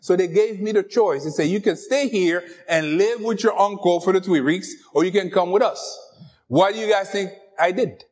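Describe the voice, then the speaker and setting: A middle-aged man whose first language is French, preaching a lively, joking sermon and talking straight to the congregation. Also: high-pitched, normal speed. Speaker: pastor.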